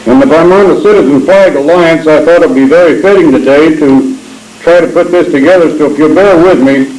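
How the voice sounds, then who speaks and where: An older man, hoarse with a cold, reading a prepared patriotic speech to veterans in a folksy, earnest way with halting delivery. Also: on the high side, a little mellow. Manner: folksy, earnest, reads haltingly with throat clearing. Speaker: veterans' post speaker. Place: reunion hall with echo. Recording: echoey hall amateur recording.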